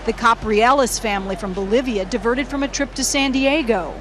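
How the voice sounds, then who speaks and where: A woman news correspondent narrating a scripted report in a crisp reporter delivery. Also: a little high, a little fast, noisy background.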